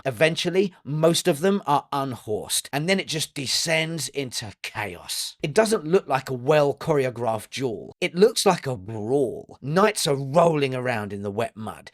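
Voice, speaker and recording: Male essay narrator, mid pitch, emphatic scripted storytelling, tight dry booth sound. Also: no echo. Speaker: video essay narrator and reviewer. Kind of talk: scripted dramatic commentary.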